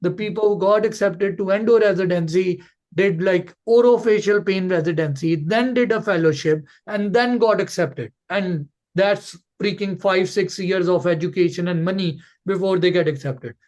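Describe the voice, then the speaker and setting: A man's voice: sits high, normal speed, a little mellow, poor audio. A man coaching callers in an informal online Q&A, blunt and emphatic, often repeating words to drive a point home. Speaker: coach hosting a Q&A session.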